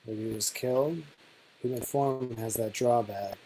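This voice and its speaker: A man's voice: mid-range voice, a little slow, mellow, lightly acted, low-quality recording. A man reading the part of a puzzled, questioning companion in a film script read-through.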